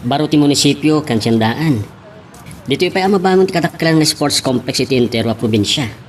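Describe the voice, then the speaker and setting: Man acting a fast-talking, eager Filipino nephew. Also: fairly high pitch, lively intonation, soft background music, natural voice. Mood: earnest. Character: eager nephew arguing politics with his uncle. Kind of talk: audio drama acting.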